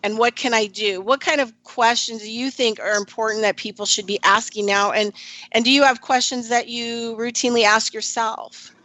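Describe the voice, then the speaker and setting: Bright-voiced woman hosting a radio panel. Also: a little high, fairly even intonation, moderate pace, no echo, low-quality recording. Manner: casual, uses fillers, asks long questions. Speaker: radio show host.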